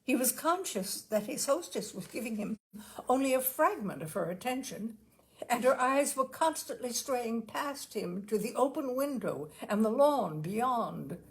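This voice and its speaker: Lady reading a classic story out loud, high voice with creaky edges, dramatic and deliberate. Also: animated, slight background noise, rough recording. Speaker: story reader. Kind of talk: expressive scripted literary narration.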